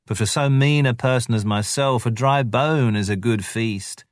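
A man voicing a mean, mischievous male jackal who wheedles and flatters in a drawn-out, sing-song way. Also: medium pitch, no room sound, studio quality, voice pushed up, lightly acted. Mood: fawning.

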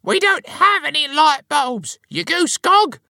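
Storybook grumpy wife: very high-pitched, sharp, scornful, dopey and snorting. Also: male voice, very animated, slow pace, no echo, studio quality, full character voice. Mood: groggy, irritable. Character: dopey, grumpy, ugly middle-aged wife, scornful and bellowing.

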